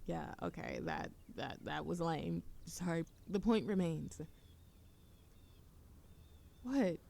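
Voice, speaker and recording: A woman's voice: low voice, wildly swooping pitch, slow, mellow, heavily acted. A woman playing a playful young witch smitten with a childhood friend, teasing and affectionate in an intimate romantic audio roleplay. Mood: fond, teasing. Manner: teasing, affectionate, breathy laughs and whispers.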